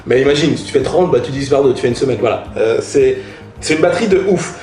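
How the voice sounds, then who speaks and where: A man's voice: medium pitch, fast, darkish tone, echoey room, faint music behind. A man chatting informally to viewers as he reviews gear, slangy and emphatic, repeating points for effect. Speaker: motorcycle vlogger reviewing gear. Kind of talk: casual product review talk to viewers.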